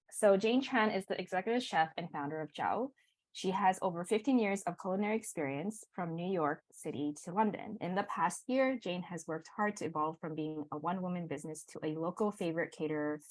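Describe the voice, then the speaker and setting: Woman host, lower voice, dry read of a guest introduction. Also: darkish tone, no echo, poor audio. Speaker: event host.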